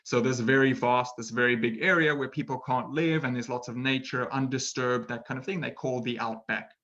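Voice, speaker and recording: A man's voice: mid-range voice, fairly even intonation, brisk, sharp tone. Chatty male teacher on a lo-fi webinar. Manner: chatty, tags sentences with "right?"; laughs at himself, playful. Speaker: English teacher. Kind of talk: webinar language lesson. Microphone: computer mic for an online webinar.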